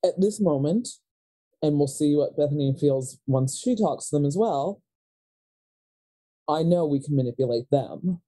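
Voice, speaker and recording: A woman playing a shrewd, manipulative sister from an occult family in a tabletop horror game, with a measured, pragmatic delivery. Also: low voice, animated, mellow. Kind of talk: improvised tabletop horror roleplay.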